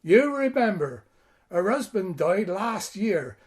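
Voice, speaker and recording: Man acting a bossy rustic father, high-pitched. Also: very animated, slow pace, husky, full character voice. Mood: businesslike. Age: middle-aged. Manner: plain-spoken rustic dialect, bossy. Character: gruff but kindly rural stone merchant, a father. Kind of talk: audio drama acting.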